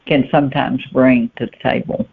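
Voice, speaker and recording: A woman giving plain-spoken, halting answers as a guest novelist in a conversational interview. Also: very deep voice, level tone, gravelly, slightly rough. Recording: muffled, thin phone-line sound.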